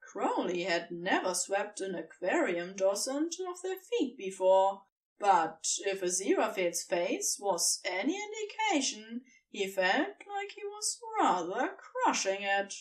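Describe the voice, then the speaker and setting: A woman narrating fiction from a script in an expressive storytelling style. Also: medium pitch, takes it slowly, recorded from a distance.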